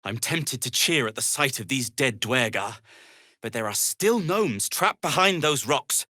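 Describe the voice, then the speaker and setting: A world-weary little gnome from a fantasy video game. He is prim and wry, forever exasperated, phrasing things formally. His intonation swings widely at a medium pitch, and the sound is very dry. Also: animated, measured pace, sharp tone, no room sound. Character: fussy, sardonic, world-weary male gnome. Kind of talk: video game character dialogue.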